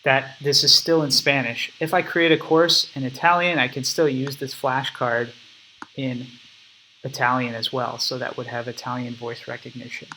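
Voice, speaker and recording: Man, bright tone, step-by-step explaining, desktop mic, faint room ambience. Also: medium pitch, level tone, normal speed, a little hiss or hum, poor audio. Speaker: app developer demonstrating his product. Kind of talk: screen-recorded software walkthrough.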